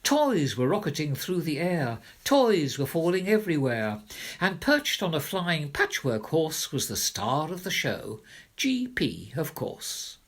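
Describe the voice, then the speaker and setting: A man reading a rhyming children's story as narrator, with expressive, theatrical delivery. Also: a little high, a little slow. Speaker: narrator, reader of children's poems.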